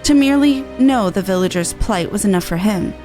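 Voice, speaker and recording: A woman voicing a courteous, formal storyteller lady who recites old legends in a measured, archaic manner for a fantasy game. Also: medium pitch, animated, dark tone, faint music behind, lightly acted. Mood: solemn, reverent.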